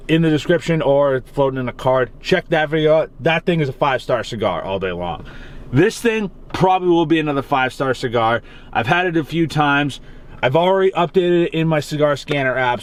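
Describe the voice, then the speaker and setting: A young man with a Massachusetts accent chatting casually and rambling as he reviews a cigar from his car. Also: fairly high pitch. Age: young adult. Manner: rambling, casual, swears freely. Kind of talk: casual vlog chat. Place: in his car on a rainy day.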